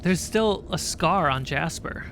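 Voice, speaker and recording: A man acting as game master, improvising narration for a tabletop game in short, halting phrases. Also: a little high, animated, background noise. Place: indoor livestream.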